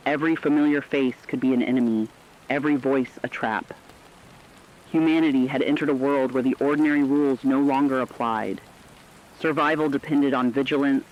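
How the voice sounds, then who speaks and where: Male narrator, mid-range, solemn deliberate apocalypse radio chronicle, muffled lo-fi sound. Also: medium pitch. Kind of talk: scripted horror narration in the manner of an emergency radio chronicle.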